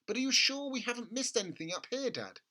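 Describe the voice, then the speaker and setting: A man's voice: very high-pitched, big pitch swings, quick pace, bright, forceful tone, pitched above the performer's own voice, heavily acted. A man voicing an earnest, hesitant little boy in a storybook reading, with a tentative, pleading manner.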